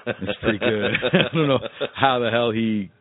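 A man's voice: mid-range voice, normal speed, no room sound. A man co-hosting a podcast in casual, joking conversation. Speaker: podcast co-host. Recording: dry, phone-like sound.

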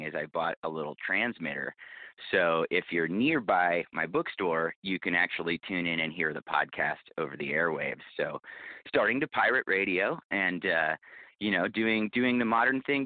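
A man's voice: low voice, dry recording. A man giving articulate, reflective, conversational answers as a podcast guest. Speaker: podcast guest, podcast creator and bookstore owner. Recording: thin phone-like line.

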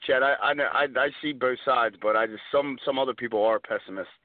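Halting American man on phone-band sports radio. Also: mid-range voice, dry recording. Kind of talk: casual sports talk. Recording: dry, phone-like narrowband radio sound.

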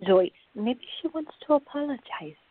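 A woman playing a patient, sensible, gently firm woman in a radio drama. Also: sits high, normal speed. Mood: reasoning. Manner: calm, reasoning, gently firm. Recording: muffled, phone-like narrow-band audio.

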